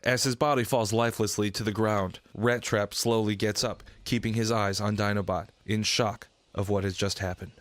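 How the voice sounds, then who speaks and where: Low-voiced man narrating a radio drama. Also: fairly even intonation, normal speed, few pauses, fairly bright tone, dry recording.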